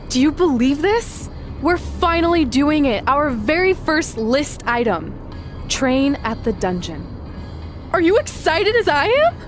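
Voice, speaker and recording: Higher-voiced young female wrestler. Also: very animated, unhurried, faint music behind. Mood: excited. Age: young adult. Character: sarcastic, hot-headed young female pro wrestler. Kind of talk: video game cutscene dialogue.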